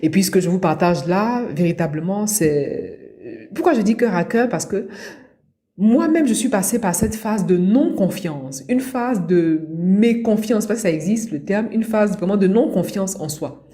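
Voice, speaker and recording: A woman's voice: mid-range voice, animated, a little slow, warm tone. A middle-aged woman from Ivory Coast, giving a motivational coaching talk in a didactic way, repeating key points for emphasis. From Abidjan, Ivory Coast. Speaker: personal development coach, podcast host.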